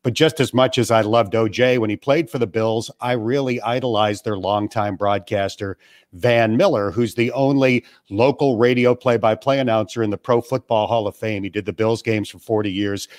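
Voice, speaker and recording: A man from western New York who is a radio play-by-play broadcaster, answering interview questions in a measured, self-deprecating way from his family room. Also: mid-range voice, no room sound, clean studio sound. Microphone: remote call from home.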